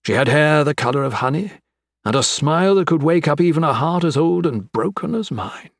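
A man voicing an older gentleman villain in an audiobook, with florid, mock-courteous, taunting speech. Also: a little high, lively intonation, brisk, vocal fry, no echo, studio quality, pitched above the performer's own voice. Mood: wistful, sorrowful. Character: menacing, theatrical old gentleman crime boss, mocking and sentimental. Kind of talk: dramatic audiobook character acting.

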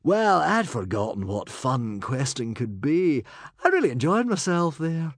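A man's voice: medium pitch, very animated, unhurried, creaky, no room sound, clean studio sound, voice pushed up, full character voice. A man doing a breezy vain hero. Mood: breezy boastful. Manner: breezy, self-satisfied. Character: breezy vain middle-aged male hero.

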